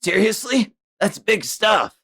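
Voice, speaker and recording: Man putting on an excitable high-pitched ninja boy. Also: takes it slowly, creaky, husky, exaggerated voice. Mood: excited. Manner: loud, exclaiming.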